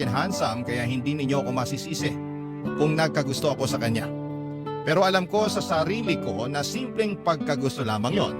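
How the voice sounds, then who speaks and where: Radio narrator: a man reading as a lovestruck, discontented young mother confessing, higher register, prominent music bed. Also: close to an everyday voice. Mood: confessional.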